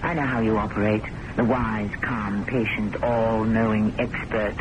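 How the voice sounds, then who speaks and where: A woman's voice: a bass voice, steady tone, unhurried, dull audio. An actress playing a resolute, accusing woman, dramatic and sarcastic, in vintage radio drama style on a noisy old recording. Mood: indignant, sarcastic. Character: accusing, resolute woman who worships and defies her boss.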